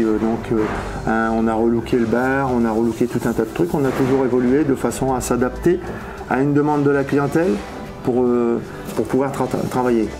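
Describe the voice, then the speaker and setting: A man in his fifties explaining things casually in an interview as a business owner, often hesitating and searching for words. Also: mid-range voice. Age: 50s. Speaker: hotel and bar owner. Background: music.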